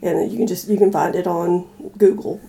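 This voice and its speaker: Mellow-voiced woman, casual podcast guest. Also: fairly low pitch, steady tone, normal speed, vocal fry, rough recording. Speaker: podcast guest, old-time radio fan. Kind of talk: casual conversation.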